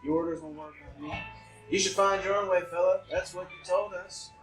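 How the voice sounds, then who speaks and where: A performer playing a raggedy young male worker in a table reading, plain-spoken, earnest and quietly defiant. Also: medium pitch, steady tone, fairly quick, close to an everyday voice. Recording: echoey, distant mic, music behind.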